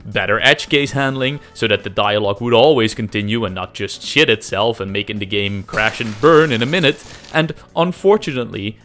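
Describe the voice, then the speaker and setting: A man's voice: medium pitch, a bit bright. A man narrating a scripted, comedic game development video with self-deprecating, exaggerated emphasis and some swearing. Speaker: host, amateur game developer narrating a devlog.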